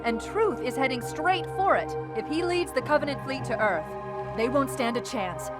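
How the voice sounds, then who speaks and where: Earnest, higher-pitched female AI companion. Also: animated, normal speed. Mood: urgent. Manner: urgent, earnest, decisive. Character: resolute, caring female AI companion, urgent and smart. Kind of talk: dramatic video game cutscene acting. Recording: loud music under the voice.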